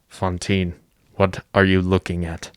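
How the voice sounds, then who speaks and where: A gentle fugitive convict in a radio drama, played by a man, protective and dignified. His voice is low and recorded very dry, with an earnest, pleading delivery. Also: monotone, unhurried, no echo. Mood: controlled, uneasy.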